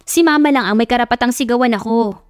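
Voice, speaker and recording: A brash young woman in a romance audio drama acted by a woman narrator. Her voice is high and feisty, quick to panic. There is a roomy echo and music behind her. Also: studio quality, natural voice. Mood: indignant.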